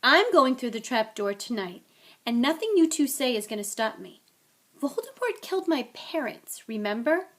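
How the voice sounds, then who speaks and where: An intense young boy hero from a storybook, acted by a woman reader. He is determined and hot-headed, pressing each point insistently in a fairly high voice. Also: sing-song, normal speed. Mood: fierce, defiant.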